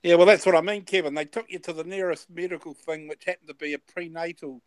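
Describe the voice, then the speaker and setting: A man's voice: fairly high pitch, steady tone, fast, no room sound, rough recording. Rambling man in his fifties, dry sound. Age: 50s. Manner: rambling, repeats words, swears casually. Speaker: host. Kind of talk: casual chat.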